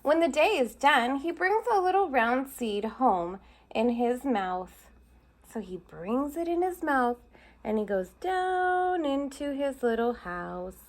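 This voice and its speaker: A woman's voice: high-pitched, big pitch swings, slow pace. A woman teacher reading a picture book aloud to young children, stopping to point out and explain the pictures. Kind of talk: storybook read-aloud for children.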